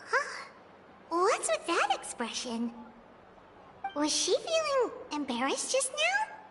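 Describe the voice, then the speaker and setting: A woman's voice: extremely high pitch, huge pitch swings, takes it slowly, heavy background noise, exaggerated voice. A woman voicing a small, childlike fairy companion in a video game, brash, easily flustered and given to blurting things out. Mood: curious. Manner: refers to herself in third person, blurting. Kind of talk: video game character acting.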